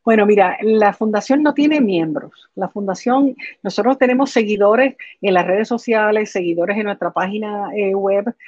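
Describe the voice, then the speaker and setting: A woman in her sixties with a Puerto Rican accent explains things as an interview guest, pausing often mid-sentence, over a video call. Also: medium pitch, darkish tone, no echo. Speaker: interview guest, patient foundation director. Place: living room at home, indoors. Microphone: computer video call. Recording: dull, muffled video-call audio.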